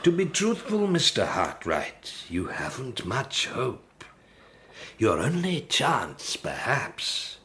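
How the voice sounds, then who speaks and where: An actor playing a sober, sceptical Victorian family solicitor with an English accent, formal and measured, in an audio drama. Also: male voice, mid-range voice, wildly swooping pitch, fairly bright tone, slight background noise, full character voice. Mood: firm, cautioning.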